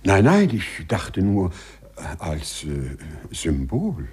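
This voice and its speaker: An actor playing a lovestruck, gentlemanly man with formal, hesitant phrasing in a 1950s German radio play. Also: low-pitched, huge pitch swings, slow pace, slightly rough, exaggerated voice. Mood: tender, courtly. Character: lovestruck, gentlemanly man who walks through walls. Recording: 1950s radio recording, loud music behind.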